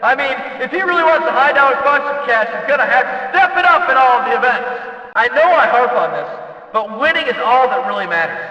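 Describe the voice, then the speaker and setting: A man playing an opinionated, excitable stock-car race announcer in a video game, giving emphatic play-by-play commentary. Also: very high-pitched, quick pace, lo-fi sound. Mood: critical, exasperated. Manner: emphatic, punchy play-by-play delivery. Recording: echoey reverberant PA sound, distant.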